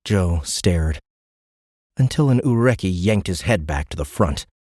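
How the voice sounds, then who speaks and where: A man with a low voice narrates fiction for an audiobook. His delivery is dramatic, scripted storytelling. The recording is close and dry, free of room sound. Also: animated, measured pace, a little mellow, dry recording.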